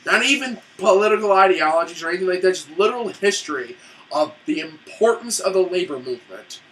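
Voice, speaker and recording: High, bright-voiced wisecracking young man. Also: lively intonation, quick pace, far from the mic, rough recording. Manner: wisecracking, profane, fond of "and whatnot". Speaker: co-host movie reviewer. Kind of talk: casual banter.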